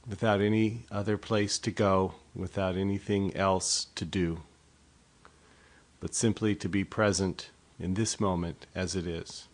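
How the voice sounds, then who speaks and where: A man leading a guided body scan meditation in a slow, soft, unhurried way. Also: deep voice, steady tone.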